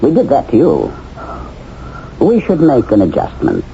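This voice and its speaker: A suave, sinister fixer. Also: male voice, mid-range voice, wildly swooping pitch, unhurried, dark tone, heavily acted. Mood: coolly menacing. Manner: smooth, coldly persuasive. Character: suave sinister man from a face-changing company. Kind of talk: 1970s radio drama acting. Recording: muffled old radio broadcast, faint hiss.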